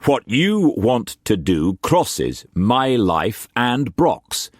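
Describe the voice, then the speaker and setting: A man's voice: mid-range voice, very animated, drawn-out words, breathy edge, dry recording, clean studio sound, pitched above the performer's own voice, full character voice. A man voicing an earnest, anxious young man in love.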